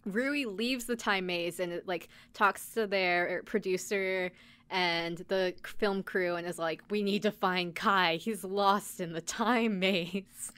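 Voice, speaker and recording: A woman's voice: mid-range voice, slow, fairly bright tone, smooth. A woman podcast host giving a casual, chatty recap, speaking conversationally with lots of filler "like". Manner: conversational, peppered with "like".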